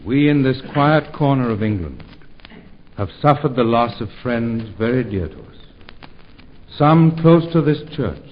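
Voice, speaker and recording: A man playing a vicar giving a solemn, measured sermon in a 1940s radio play. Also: mid-range voice, lively intonation, natural voice. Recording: dull 1940s radio broadcast, faint noise.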